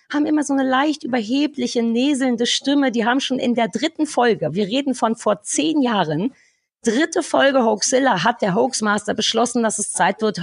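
A woman co-hosting a podcast, talking casually in an animated, blunt ranting manner with some swearing. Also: on the high side, creaky, breathy edge, dry recording. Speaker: podcast co-host. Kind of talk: casual review chat.

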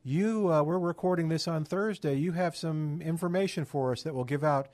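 A man's voice: on the high side, moderate pace, a little mellow, no echo. A man hosting a radio show, talking in an informal, conversational way with loose run-on phrases.

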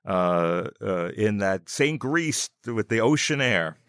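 A man with a New York accent chatting casually as an interview guest, halting and often repeating his words. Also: on the low side, lively intonation, fairly bright tone, no echo, clean studio sound. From New York City, United States. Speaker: podcast guest.

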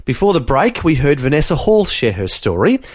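A man's voice: a little high, big pitch swings, normal speed, dry recording, clean studio sound. A man hosting a show and reading a scripted introduction, in an announcer-like way. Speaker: host.

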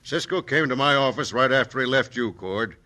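A man playing a cold, scheming crooked official in an old-time radio western, businesslike and deliberate, with an American accent. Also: medium pitch, bright, forceful tone, close to an everyday voice. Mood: grim.

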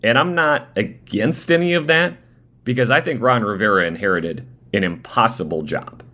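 Man, sports radio host, low-ish voice, voice going, quick restarts. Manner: animated, restarting phrases. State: losing his voice. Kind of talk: live sports talk radio. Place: radio studio.

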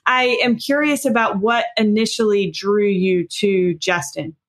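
A woman's voice: mid-range voice, fairly even intonation, a little slow. A woman hosting a podcast, politely thanking her guests and asking how they met. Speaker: podcast host. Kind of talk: interviewing.

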